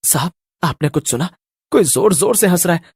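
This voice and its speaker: A man's voice: high voice, animated, fairly quick, vocal fry, slightly rough, dry recording, studio quality, full character voice. Man playing an anxious, pleading constable. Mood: nervous, wary. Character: timid, fearful male police constable, a pleading family man. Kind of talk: Hindi horror cartoon voice acting.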